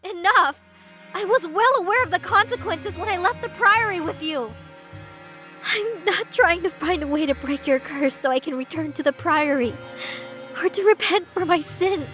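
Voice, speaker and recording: An actress playing a determined, devoted young nun on the run in a dramatic visual novel, earnest and resolute, with music underneath. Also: female voice, extremely high pitch, moderate pace, sharp tone. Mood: distressed, defiant.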